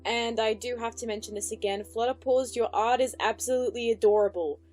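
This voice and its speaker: A woman's voice: a little high, steady tone, a little fast, a little mellow. A woman giving casual, enthusiastic reaction commentary as the host, with music behind her.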